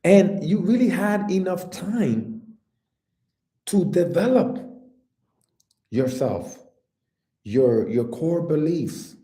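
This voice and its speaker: A man coaching his listeners through an earnest motivational talk, speaking straight to them with steady encouragement. His voice is warm and mellow, and he takes his time over each phrase. The room around him rings with a noticeable echo. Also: a little high, slow pace, pauses often. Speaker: life coach.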